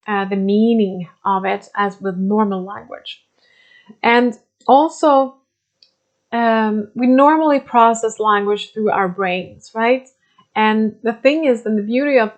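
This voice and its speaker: A woman whose first language is Swedish, explaining spiritual ideas in English with many pauses, fillers and restarts. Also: medium pitch. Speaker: spiritual teacher. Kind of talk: unscripted explanatory talk.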